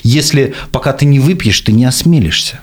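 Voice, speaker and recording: A man's voice: medium pitch, a little fast, husky. A man in his forties hosting a podcast, talking in a casual, frank, joking way. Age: 40s. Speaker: podcast host.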